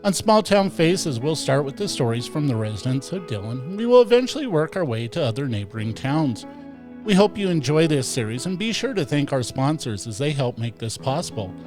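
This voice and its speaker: A man reading the scripted intro narration for a local news series in a steady, even delivery. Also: fairly high pitch, brisk. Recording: voice-over with faint music behind.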